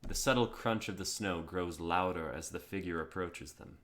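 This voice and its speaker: A man's voice: deep voice, moderate pace, fairly bright tone. A man chatting casually and reading script narration on an online stream. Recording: clearly noisy mic with keyboard clicks.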